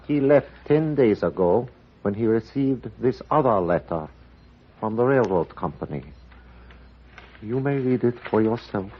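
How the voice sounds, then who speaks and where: An actor playing a grave, dignified older Chinese uncle in a 1950s radio drama, speaking formally, carefully and deliberately. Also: male voice, mid-range voice, expressive, slight background noise, lightly acted. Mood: somber. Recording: muffled vintage radio recording.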